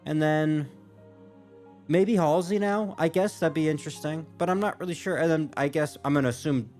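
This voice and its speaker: A man's voice: on the high side, normal speed, darkish tone, faint music behind. A man giving casual, unscripted commentary as a gaming reaction host, rambling and circling back to rephrase mid-thought, with some casual swearing.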